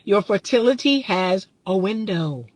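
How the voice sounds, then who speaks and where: A woman's voice: mid-range voice, expressive, a little slow, a little mellow, no echo. A woman in her thirties, a relationship coach, talking bluntly and casually from her car, reading viewer comments, laughing often. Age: 30s.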